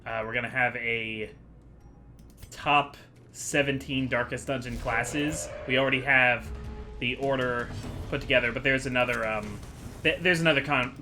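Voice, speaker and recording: A man's voice: medium pitch, moderate pace, music in the background. A man giving casual, rambling commentary as the host of a gaming video, chatty and digressive as he thinks aloud.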